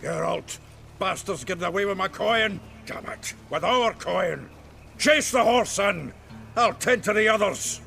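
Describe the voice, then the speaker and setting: An actor playing a gruff, jovial, foul-mouthed dwarf in a video game, talking bluntly and heartily. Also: male voice, high voice, a little slow, pushed and bright, soft background music, exaggerated voice. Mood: angry, urgent. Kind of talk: video game character acting.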